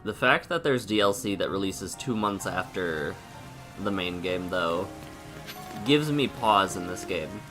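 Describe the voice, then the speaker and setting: A man with a stuffy, sniffly nose and a sore throat, streaming a game and chatting casually while thinking aloud with pauses. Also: sits low, lively intonation, faint music behind. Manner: laid-back, thinking aloud with pauses and hums. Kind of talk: casual gameplay commentary.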